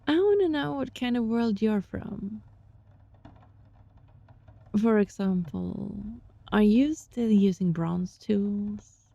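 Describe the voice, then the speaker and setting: A woman playing a gentle, sly young fox-spirit student in an intimate ASMR roleplay, speaking softly and breathily in a coaxing, teasing way. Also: medium pitch, animated, slow, pauses often, dry recording, dull audio, heavily acted. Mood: friendly, relieved. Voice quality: soft, breathy.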